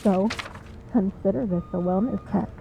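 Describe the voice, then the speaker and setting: A woman's voice: mid-range voice, moderate pace, vocal fry, mellow, lo-fi sound, close to an everyday voice. A woman playing a cheeky, smug female villain in a comedic audio roleplay, with breezy, sarcastic teasing. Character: cheeky, smug woman supervillain, frequent hospital patient.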